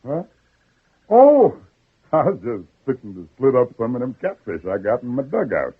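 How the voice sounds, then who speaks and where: A man's voice: medium pitch, huge pitch swings, normal speed, slightly rough. A man playing a folksy, slyly affable backwoods uncle with a drawling Southern accent in an old-time radio drama. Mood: puzzled, sly. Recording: narrow, muffled old radio recording.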